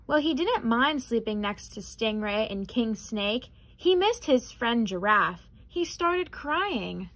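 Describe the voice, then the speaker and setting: A young adult woman reading a children's picture book aloud in an expressive, careful way. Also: on the high side, slow, few pauses, rough recording. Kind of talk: children's storybook read-aloud.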